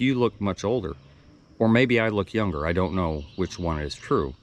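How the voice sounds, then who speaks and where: A man in his sixties giving a rambling, reflective monologue for his own video channel, with frequent restarts and asides. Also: sits low. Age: 60s. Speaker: video blogger.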